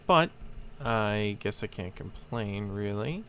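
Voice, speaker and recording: A man's voice: lowish voice, lively intonation, slow pace, a bit bright, slight background noise. A man giving casual let's-play commentary, musing aloud as he thinks through puzzles. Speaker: gaming host, player. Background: game music.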